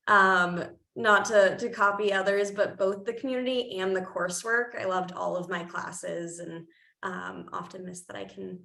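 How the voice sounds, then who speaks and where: A woman researcher introducing herself on a panel, upbeat and filling pauses with "um"s. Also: medium pitch, gravelly, bright, forceful tone. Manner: upbeat, lots of "um"s. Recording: echoey room.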